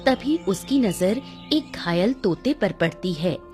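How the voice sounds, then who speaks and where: A woman delivers scripted storytelling as the narrator of a cartoon tale. Her voice sits at a medium pitch over faint music. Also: animated, slow, darkish tone. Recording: music under the voice.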